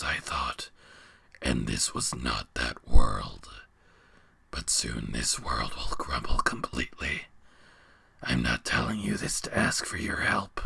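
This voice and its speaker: A man voicing a weary, sorrowful giant beast in a slow, grave, breathy growling whisper for a dramatic fantasy story reading. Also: very deep voice, bright, forceful tone, no room sound, voice pushed down, heavily acted. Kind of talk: dramatic fan fiction reading.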